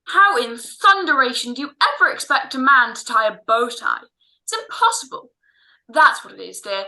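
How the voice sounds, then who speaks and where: A man's voice: extremely high pitch, sing-song, moderate pace, gravelly, bright, forceful tone, heavily acted, poor audio. An actor playing a fussy, tender-hearted father in an old-time radio drama, blustering and stammering over his words. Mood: blustering, exasperated. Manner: blustering, hesitant with stammered repeats. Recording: online home recording.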